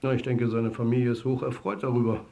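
A man's voice: mid-range voice, flat delivery, quick pace, creaky, warm tone, close to an everyday voice. An actor playing a wry, defiant male football coach in a radio drama, with a dry, conversational delivery. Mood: candid, defiant. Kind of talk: German radio drama acting.

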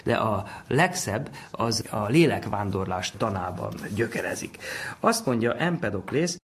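A man's voice: fairly low pitch, expressive, husky. A man giving an informal, conversational explanation as an expert, laying out his points step by step. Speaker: expert commentator.

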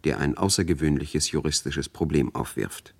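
A man's voice: very deep voice, normal speed, creaky, husky, no room sound, pitched below the performer's own voice, heavily acted. A man voicing a grave, pompous old-time radio announcer in a weighty, formal style. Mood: solemn, portentous. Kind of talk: mock vintage radio announcement.

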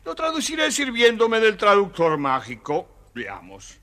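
A man's voice: high-pitched, huge pitch swings, a little slow, pushed and bright, full character voice. An actor playing a learned, servile male sage in a children's story record, muttering mock Latin with theatrical ceremony. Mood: ceremonious, learned.

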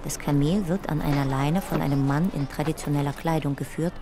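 A narrator in a radio play soberly describes photographs in measured, matter-of-fact fragments. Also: medium pitch, fairly even intonation, noisy background, close to an everyday voice. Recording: music behind the voice. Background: music.

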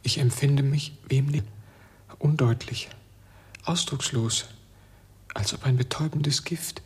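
An actor playing a lovestruck, bewildered dreamer in a radio drama, soft and often near a whisper. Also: male voice, medium pitch, steady tone, a little slow, husky. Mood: dazed, musing. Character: yearning, bewildered male dreamer in love. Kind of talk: German radio drama acting.

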